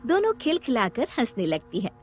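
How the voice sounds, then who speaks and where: A woman's voice: fairly high pitch, huge pitch swings, moderate pace. A woman narrating a scripted children's story as a storyteller. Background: music.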